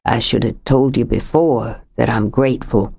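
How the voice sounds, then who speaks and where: A woman voicing a grieving, stubborn middle-aged widower who speaks low, heavy and bitter in an audiobook. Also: fairly even intonation, normal speed, creaky, mellow, slightly rough, exaggerated voice. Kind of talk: audiobook character reading.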